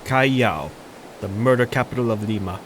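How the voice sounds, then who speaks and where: An actor playing a troubled, hardened young street robber who narrates an animated crime drama in a brooding, dramatic way. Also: male voice, lowish voice, moderate pace, slight background noise, natural voice. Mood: bitter, brooding. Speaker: narrator and main character. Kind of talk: scripted first-person narration.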